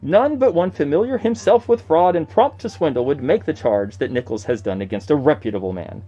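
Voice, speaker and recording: A man's voice: on the high side, animated, brisk, warm tone, slightly rough, highs cut off. A man playing an indignant nineteenth-century horse seller, declaiming old newspaper notices in a theatrical, scornful manner. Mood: indignant, defiant.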